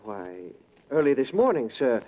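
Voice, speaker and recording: An actor playing a polite, puzzled, deferential florist in a 1940s radio drama, speaking hesitantly. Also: male voice, medium pitch, very animated, measured pace, mellow. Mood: meek, puzzled. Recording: muffled 1940s radio broadcast.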